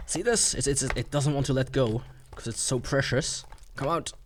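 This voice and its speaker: A man's voice: mid-range voice, normal speed, a little hiss or hum. A man with a mid-range voice talks through a product unboxing in an informal way. His delivery is sarcastic, with playful overstatement as he comments like a gadget reviewer.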